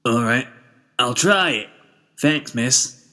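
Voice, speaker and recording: A man's voice: medium pitch, lively intonation, takes it slowly, sharp tone. A man voicing a stout, forward male pony shopkeeper with a scratchy, sore-throated voice in a story reading. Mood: doubtful. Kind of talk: fan fiction character reading.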